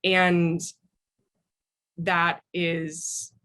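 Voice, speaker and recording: Earnest American woman, slightly low voice. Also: monotone, drawn-out words, a bit bright. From United States. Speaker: guest, author. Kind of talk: thoughtful interview answers.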